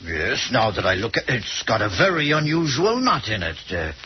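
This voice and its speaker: An actor playing a skeptical, flustered police prefect in an old-time radio mystery, hesitant and blustering. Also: male voice, medium pitch, big pitch swings, a little fast, natural voice. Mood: puzzled. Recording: muffled, noisy old radio recording.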